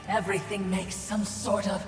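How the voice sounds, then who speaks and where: A woman's voice: medium pitch, little pitch movement, moderate pace, close to an everyday voice. Woman playing a fawning servant in echo. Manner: deferential, coaxing. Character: fawning, obsequious servant to a warrior mistress. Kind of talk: dramatic video game acting. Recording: echoey hall reverb, music behind.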